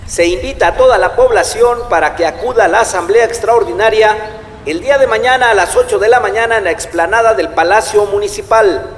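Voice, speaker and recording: A man playing a town announcer in a radio drama, formal and proclaiming. The high voice rings with long echo over faint ambient noise. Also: a little fast, lightly acted. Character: town announcer calling villagers to an assembly. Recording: echoey, reverberant public-address sound, ambient noise.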